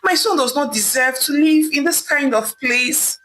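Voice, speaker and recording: A woman voicing a distraught, wealthy mother who pleads and weeps in a high, wailing voice. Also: normal speed, fairly bright tone, a little hiss or hum, poor audio. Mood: pleading.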